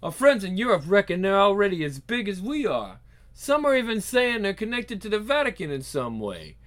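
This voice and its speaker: A man's voice: sits high, big pitch swings, moderate pace. A man playing a crude, blustering mafia don with a sneering, menacing manner. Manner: sneering, swearing, forceful. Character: brash male mob boss, crude, menacing, blustering.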